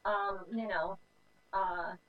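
A woman's voice: mid-range voice, fairly even intonation, very slow, narrow, tinny audio. A woman guest chatting casually in an interview, telling a story animatedly with many fillers, from home over a call. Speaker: guest, singer in a group.

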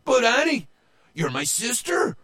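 A man's voice: sits high, big pitch swings, measured pace, bright, forceful tone, pitched above the performer's own voice, heavily acted. A man voicing a bold, blustering male pirate captain in a storybook tale, bossy and roaring, whiny when crossed. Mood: pleading.

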